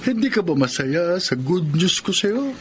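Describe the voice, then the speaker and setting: A man playing a kind, fatherly older employer in a Filipino radio drama, gently advising with a Filipino accent. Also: high-pitched, big pitch swings, unhurried. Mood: kindly concern. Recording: clearly noisy radio drama track.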